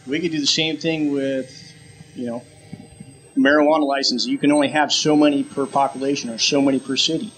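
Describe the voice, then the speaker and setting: A man's voice: medium pitch, steady tone, fairly quick, fairly bright tone, a little hiss or hum, rough recording. A man making an informal, persuasive case on a talk show panel.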